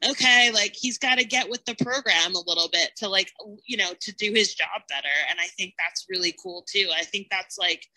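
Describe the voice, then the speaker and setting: Bright, effusive woman chatting casually. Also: mid-range voice, fairly quick, low-quality recording. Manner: effusive, rambling, heavy use of "like". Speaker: podcast panelist. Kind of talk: casual podcast chat about a TV show.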